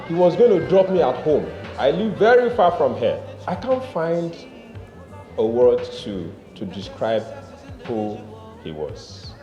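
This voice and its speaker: A man giving a reflective spoken tribute to a late mentor, in halting phrases. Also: mid-range voice. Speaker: drama group leader. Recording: echoey room, dull sound, music over it.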